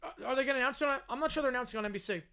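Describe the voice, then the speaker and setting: A man hosting a live sports reaction stream, chatting casually and answering viewers, his voice hoarse and worn out. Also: extremely high pitch, racing, pushed and bright. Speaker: livestream host.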